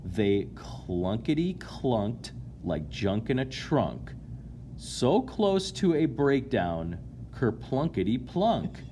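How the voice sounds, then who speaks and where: A man reads a picture book to children with very lively, changing intonation. He takes his time, stretching key words playfully and turning to chat with his listeners. There is faint noise behind his voice. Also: mid-range voice, takes it slowly. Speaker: storyteller reading a children's picture book aloud. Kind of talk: animated read-aloud to children, with asides to listeners.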